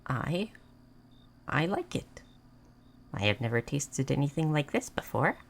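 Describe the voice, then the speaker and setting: A woman playing a gentle, naive moth person in a roleplay audio, speaking in halting, simple, formal phrases. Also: low voice, very animated, vocal fry, a little hiss or hum, heavily acted. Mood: soft wonder. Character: gentle, naive, curious moth person learning human things. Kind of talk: scripted roleplay audio addressed to the listener.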